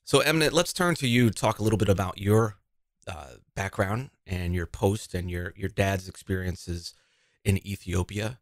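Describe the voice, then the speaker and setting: A man hosts an interview podcast. His voice is very deep and sounds dry and close to the mic. He talks in a casual way and often restarts his sentences. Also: normal speed, no echo. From New York. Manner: conversational, restarts his phrases. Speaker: host.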